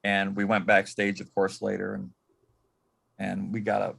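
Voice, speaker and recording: An American man from Michigan reminiscing as a panel guest in a halting, reflective way, often repeating words. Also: low voice, completely flat delivery, vocal fry, fairly bright tone, breathy edge. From Michigan, United States. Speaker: panel guest, former assistant to an entertainer.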